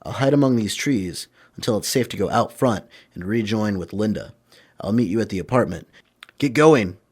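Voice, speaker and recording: A man playing a cool, bold masked vigilante in a radio drama, brisk and commanding. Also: fairly low pitch, darkish tone, slight background noise, natural voice.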